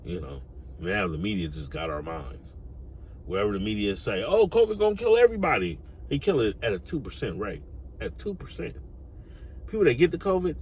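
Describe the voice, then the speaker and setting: American man, very swingy pitch, emphatic street-slang commentary, exhorting, slight background noise. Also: a bit bright. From United States. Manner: emphatic, exhorting, repeats phrases for effect. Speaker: online commentator. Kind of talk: opinionated informal monologue.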